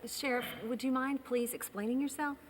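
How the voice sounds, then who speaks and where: A woman's voice: high voice, steady tone, normal speed, close to an everyday voice. A woman playing a matter-of-fact small-town witness in a film, speaking plainly and naturally. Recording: echoing hall, faint background noise.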